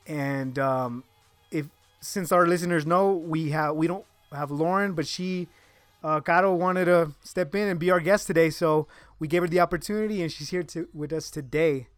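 A man hosting a podcast in casual chat, relaxed and joking, laughing often. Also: on the high side, normal speed, no room sound, soft background music. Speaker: podcast host.

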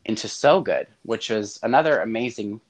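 A man hosting a casual role-played interview, talking in halting bursts with frequent restarts. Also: fairly low pitch, lively intonation.

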